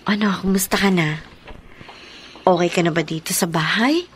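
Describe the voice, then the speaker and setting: A woman's voice: on the low side, moderate pace, slight background noise, lightly acted. A Filipino woman playing a caring, breezy, helpful young woman in a radio drama. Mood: cheerful, caring.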